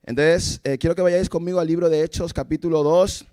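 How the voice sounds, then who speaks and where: A man's voice: fairly high pitch, fairly even intonation, brisk, mellow. A man from Cameroon, preaching a casual sermon at a church service and asking the congregation rhetorical questions. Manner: informal, asks rhetorical questions, chuckles between points. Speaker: evangelist preacher. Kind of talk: conversational sermon.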